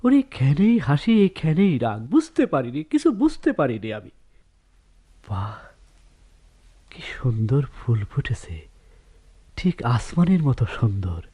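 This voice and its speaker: Dreamy village poet with swooping voice. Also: male voice, mid-range voice, very animated, measured pace, pauses often, dark tone, breathy edge. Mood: dreamy, lyrical. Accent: rural Bangladeshi. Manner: hesitant, lyrical. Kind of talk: radio drama acting.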